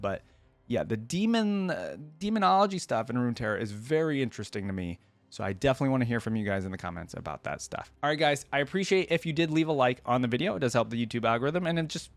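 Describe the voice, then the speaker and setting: A man's voice: mid-range voice, dry recording. A man hosting a casual reaction video, thinking aloud in an informal, rambling way. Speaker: YouTube reaction host.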